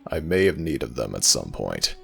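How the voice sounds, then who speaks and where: A man voicing a stern, weary god of the dead in an ASMR audio roleplay, formal and commanding, with a gravelly voice. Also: extremely low pitch, sing-song, moderate pace, a bit bright, soft background music, exaggerated voice.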